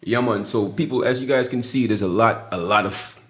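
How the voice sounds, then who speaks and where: A man's voice: on the low side, a little fast, fairly bright tone. A man co-hosting a podcast, upbeat and chatty, plugging events and asking questions. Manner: upbeat, repeats "yeah, man" and plugs events. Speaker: podcast co-host. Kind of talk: casual interview chat.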